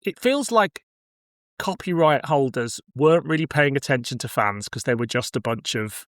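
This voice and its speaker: A middle-aged man talks as a podcast host in a casual, opinionated way. His pitch is average and his phrasing is colloquial. The sound is close and dry, with very little room. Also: lively intonation, normal speed, a bit bright, no room sound. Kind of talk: casual chat about cult science fiction.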